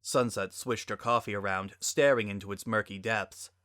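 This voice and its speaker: A man's voice: fairly low pitch, steady tone, moderate pace, fairly bright tone, no echo, studio quality. A man narrating a scripted story as a dramatic reading.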